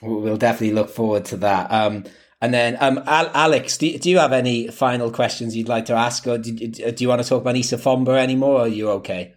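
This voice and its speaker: Guy hosting a laid-back football chat, kinda halting, repeats himself a bit. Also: medium pitch. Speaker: host of a football fan podcast. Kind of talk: casual interview.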